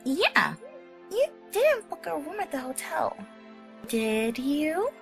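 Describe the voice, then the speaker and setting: A woman voicing an excitable, affectionate young female cartoon pony in a fan audio drama, gushing and playful. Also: high voice, takes it slowly, creaky, music in the background, heavily acted. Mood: excited.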